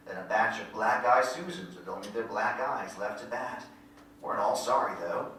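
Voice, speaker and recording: A man's voice: mid-range voice, a bit bright, natural voice. A man playing a wistful country narrator looking back on boyhood, telling it lyrically with folksy rural grammar. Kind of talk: poetic first-person monologue in staged reading. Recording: very echoey hall, distant mic, faint noise.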